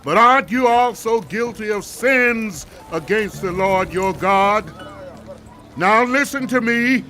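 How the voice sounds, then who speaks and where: A man's voice: sits high, slow, a little hiss or hum, heavily acted. An actor playing a stern, indignant prophet who proclaims his rebuke in a dramatized audio Bible. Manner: declamatory, forceful, proclaiming.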